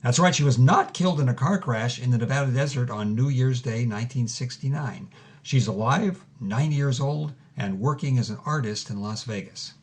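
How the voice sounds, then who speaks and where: A man's voice: mid-range voice, normal speed, close to an everyday voice. An actor playing an earnest, theatrical male host who presents and interviews in a scripted audio drama podcast. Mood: grand, dramatic.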